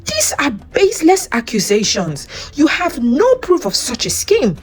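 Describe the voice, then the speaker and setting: Deceitful folktale chief acted by a woman, grasping and desperate, pitched above the narration, music under. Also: huge pitch swings, a little slow, heavily acted. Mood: sneering. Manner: haughty and desperate. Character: greedy, deceitful male village chief.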